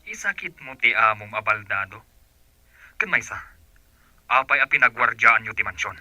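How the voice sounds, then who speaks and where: A suspicious, mocking fellow in a radio drama, needling with questions. The voice is extremely sharp and forceful at a medium pitch, in a dry studio. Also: male voice, expressive, moderate pace, no room sound. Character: suspicious, mocking man grilling the household help.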